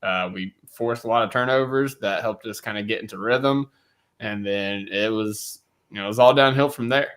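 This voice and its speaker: A man's voice: lowish voice, normal speed, a bit bright, smooth. A man co-hosting a sports podcast, his voice stuffy from allergies, chatting casually from a cold room at home. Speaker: podcast co-host.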